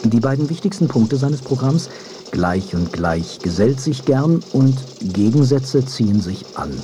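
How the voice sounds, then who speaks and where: A man's voice: medium pitch, expressive, a little slow. A man reading out scripted period prose as a quote reader. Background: noise.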